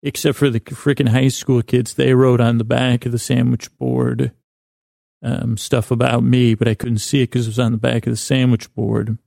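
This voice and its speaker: Man, gravelly mellow voice, monotone, halting bedtime podcast rambling, frequent false starts, deadpan. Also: medium pitch, no echo, clean studio sound. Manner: meandering, halting, stammering with many false starts. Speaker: podcast host. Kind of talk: rambling comic monologue for a bedtime sleep podcast.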